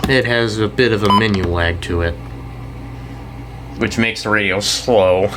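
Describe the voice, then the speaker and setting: A young American man giving a casual, off-the-cuff show-and-tell, talking in a halting, rambling way and often correcting himself. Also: on the low side. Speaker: hobbyist video creator. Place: indoors in a house. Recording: noisy room with air-conditioner hum.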